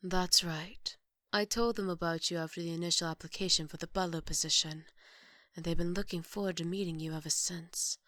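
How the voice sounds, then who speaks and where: A strict chief maid of a grand estate, acted by a woman in an ASMR roleplay. Her voice sits fairly low and is recorded close and dry. She speaks formally and with measured, sarcastic reproof. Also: fairly even intonation, sharp tone, no room sound. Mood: formal, stern.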